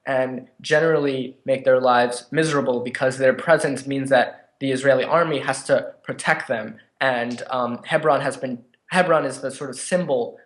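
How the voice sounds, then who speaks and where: Male journalist, mid-pitched, conversational interview delivery in a reverberant room. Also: steady tone, moderate pace, hardly any pauses, fairly bright tone. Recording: echoey room.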